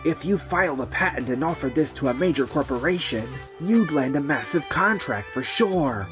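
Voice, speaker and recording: A man's voice: on the high side, expressive, normal speed, music in the background, lightly acted. A man playing an earnest, courteous businessman in a manga dub. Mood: earnest, grateful. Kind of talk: manga dub character acting.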